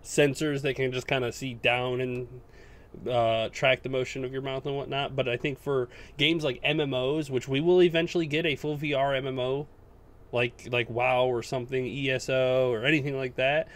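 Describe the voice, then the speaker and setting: A man's voice: medium pitch, fairly even intonation, moderate pace. A man co-hosting a podcast, talking informally in a casual group chat with the odd swear word. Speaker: podcast co-host. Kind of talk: casual panel chat about gaming news.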